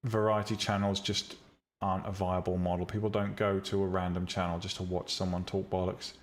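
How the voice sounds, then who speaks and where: A man's voice: low voice, a little fast, a little hiss or hum. A man live-streaming, chatting casually and bluntly with his viewers about making 3D tutorials, with lots of ums and restarts. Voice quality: calm, relaxing voice. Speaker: streamer teaching 3D rendering and visual novel making.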